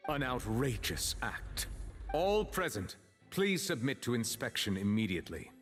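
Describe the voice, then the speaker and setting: A man playing a stern, shrewd judge in an anime game, speaking in a measured, formal, authoritative way. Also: medium pitch, very animated, fairly bright tone, soft background music. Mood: grave.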